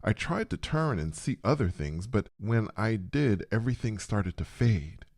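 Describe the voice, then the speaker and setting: A man narrating a scripted first-person story in a dramatic, deliberate way with pauses. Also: fairly low pitch, expressive, a little mellow.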